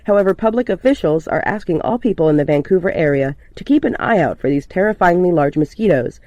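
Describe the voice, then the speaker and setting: A man's voice: sits high, expressive, fast, a little mellow, dry recording. A man playing a composed local radio news anchor, announcing a scripted bulletin in a formal, orderly way. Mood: serious, neutral.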